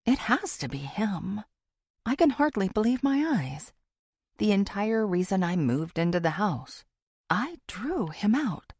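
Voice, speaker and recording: A woman reading a first-person thriller audiobook in a dramatic, expressive way. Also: lowish voice, slightly rough, dry recording, clean studio sound. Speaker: audiobook narrator.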